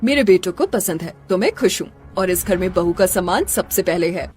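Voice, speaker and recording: A woman voicing a warm, dignified middle-aged mother in a Hindi cartoon story, tender and measured. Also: fairly low pitch, expressive, faint music behind, close to an everyday voice. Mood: approving.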